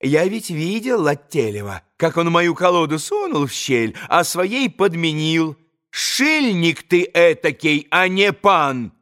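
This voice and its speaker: Man acting brazen, accusing innkeeper, pitched high. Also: big pitch swings, slow, fairly bright tone, full character voice. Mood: triumphant accusing. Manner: blunt, colloquial, taunting.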